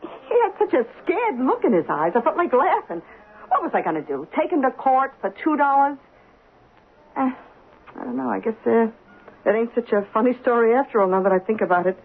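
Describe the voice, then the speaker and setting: Lively, chatty New diner woman. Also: a little high, fairly quick, husky, exaggerated voice. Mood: wry, sympathetic. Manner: wry, folksy, colloquial. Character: chatty, good-natured working-class diner counter woman. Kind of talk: old-time radio drama acting. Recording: tinny radio recording, faint music.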